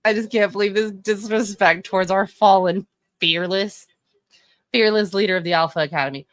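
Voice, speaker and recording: A woman's voice: mid-range voice, steady tone, normal speed, no echo. A woman in her thirties with a Texan accent, giving chatty, opinionated live-stream commentary and often correcting herself. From Texas. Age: 30s. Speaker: streamer reviewing wrestling fashion.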